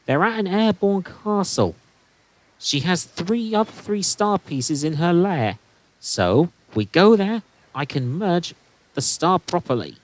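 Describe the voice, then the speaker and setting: A woman's voice: on the low side, sing-song, slow pace, rough recording. A woman voicing a firm, no-nonsense heroine in an audio drama, direct and challenging. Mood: defiant. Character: firm, no-nonsense heroic keeper of magic star pieces, Maple.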